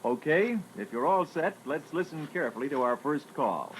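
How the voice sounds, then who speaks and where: Formal male narrator, very lively intonation, noisy tape. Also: a little high, normal speed. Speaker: narrator of a sales training record. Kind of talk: scripted instructional narration. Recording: noisy old reel-to-reel tape.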